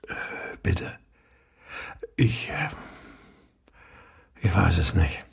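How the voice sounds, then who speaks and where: An actor playing a shaken, sleepless father in a radio drama, speaking in a halting, bewildered way. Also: low-pitched, big pitch swings, creaky, slightly rough, in a whisper, exaggerated voice. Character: shaken, sleepless father seeking his missing daughter.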